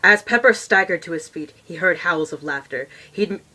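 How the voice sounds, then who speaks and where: Woman putting on a husky, pompous male troll reader. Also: on the low side, animated, moderate pace, pushed and bright, rough recording. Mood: disapproving. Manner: pompous, formal, easily exasperated. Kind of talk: storybook reading with muttered asides.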